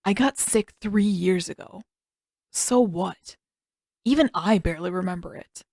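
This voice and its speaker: A woman voicing a guarded, moody teenage prince, speaking in a terse, grudging, low-key way. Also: measured pace, a little mellow, no room sound, clean studio sound. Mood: defensive.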